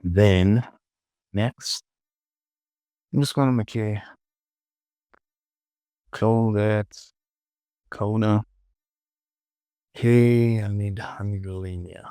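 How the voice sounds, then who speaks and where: A man gives a casual software tutorial in a low, gravelly voice. He talks slowly, in short muttered phrases that he often repeats to himself. The sound is dry and close to a plain voice-over. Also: fairly even intonation, no room sound. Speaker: tutorial host. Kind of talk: casual screen-recorded software tutorial narration.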